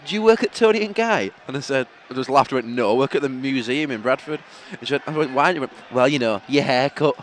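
A man's voice: medium pitch, expressive, fast, few pauses, a little hiss or hum. A young man with a Yorkshire accent co-hosting a fan podcast, chatty and giggly, recorded at a football ground. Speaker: podcast co-host. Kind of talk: casual banter. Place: outdoors in football stadium stands.

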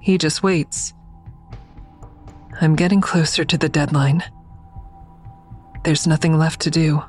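An actor playing an anxious narrator who recounts a tense ordeal in measured first person, in an audio drama. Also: mid-range voice, steady tone, a little mellow, soft background music, natural voice. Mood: resigned dread.

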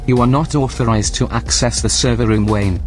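An actor voicing a polite, unyielding male station computer in an audio drama, formal, measured and impassive. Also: mid-range voice, soft background music, lightly acted. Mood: firm, warning.

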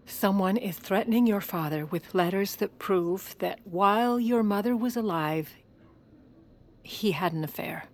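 An actress playing a sardonic, steely, scheming woman in an audio drama, speaking in a dry, cutting, deliberate way. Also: mid-range voice, lightly acted. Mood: grave, controlled. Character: sardonic, steely woman scheming against her family's accusers. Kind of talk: audio fiction drama acting.

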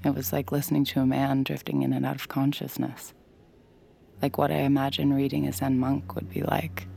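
A young adult mind-reading heroine, played by a woman in an audio drama, narrating her thoughts quietly and reflectively. Her voice is very low and gravelly, and at times she drops to a whisper. Faint noise sits behind her. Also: completely flat delivery, fairly quick, heavily acted. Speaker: mind-reading lead character.

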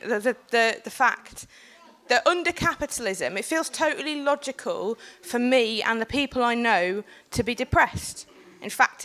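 A woman with a cold giving an earnest, personal talk on a panel in a packed room, building steadily to forceful points. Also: fairly high pitch. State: has a cold. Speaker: panel speaker and organiser.

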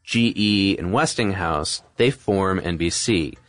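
Man, deep voice, dry close sound, podcast co-host, discursive conversational explaining. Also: takes it slowly, fairly bright tone, dry recording.

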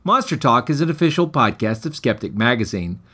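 Man with lively, swooping storytelling delivery. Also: medium pitch, brisk, husky. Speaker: author and podcast host. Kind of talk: scripted dramatic story narration.

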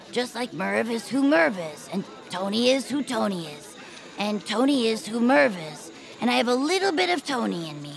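An earnest young cartoon bird hero, with a lively, swooping delivery and a crackly edge. She rambles eagerly in a fairly high voice over light music. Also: creaky. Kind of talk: audio fiction cartoon-style acting.

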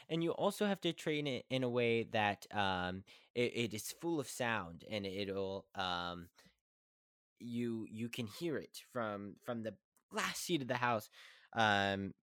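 Young man, podcast host, fast talker, stumbles on words, mid pitch, dry close sound. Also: animated, fairly bright tone, dry recording. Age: young adult. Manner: fast, stumbling, restarting often. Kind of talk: casual talk about acting.

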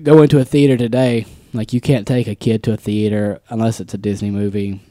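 A man's voice: on the low side, flat delivery, brisk, warm tone, no room sound. A man in his twenties co-hosting a podcast in casual chat, laughing often and acting out quotes. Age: 20s. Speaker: podcast co-host.

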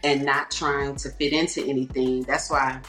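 A woman with a deep, low alto voice talks through her story in an easy, reflective way, often stopping to say "you know". The room rings noticeably, and faint music plays underneath. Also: little pitch movement, moderate pace, fairly bright tone, roomy sound. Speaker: guest singer. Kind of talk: casual interview talk.

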